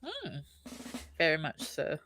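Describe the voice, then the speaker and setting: A woman's voice: deep voice, huge pitch swings, slow pace, fairly bright tone, soft background music. A woman who is a guest on a casual stream, chatty and encouraging, with lots of "like" fillers. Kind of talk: casual conversation.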